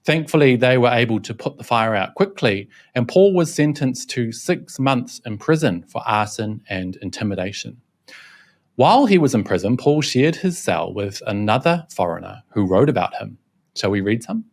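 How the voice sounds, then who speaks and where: A man's voice: medium pitch, big pitch swings, normal speed. A young man hosting a scripted news commentary, mocking and scornful in tone. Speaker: host, reporter. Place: Shanghai.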